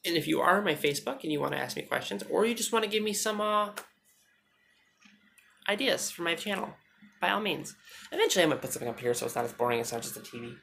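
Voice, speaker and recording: A woman vlogging on her phone at home, chatting fast and joking with some swearing, her naturally deep voice held higher. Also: lively intonation, creaky, fairly bright tone, slight background noise. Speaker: YouTuber. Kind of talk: casual storytelling vlog. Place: indoors at home, TV behind her.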